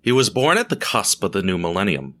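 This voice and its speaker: A man reading a scripted story as narrator, in a measured, dramatic way with deliberate pauses. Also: sits low, very animated, pushed and bright. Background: music.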